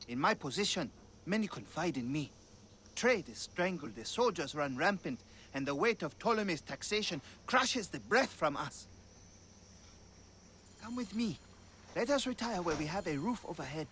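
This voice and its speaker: An actor playing an anxious, secretive middle-aged brewer in a video game, speaking in a hushed, grave way. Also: male voice, a little high, expressive, normal speed, slight background noise. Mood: urgent.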